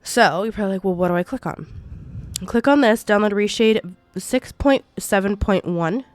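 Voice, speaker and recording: A woman in her twenties with a congested cold voice, walking viewers through a software tutorial in a chatty, rambling way. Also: mid-range voice, moderate pace, no echo. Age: 20s. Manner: chatty, rambling, self-correcting. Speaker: gaming YouTuber. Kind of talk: casual screen-recorded tutorial.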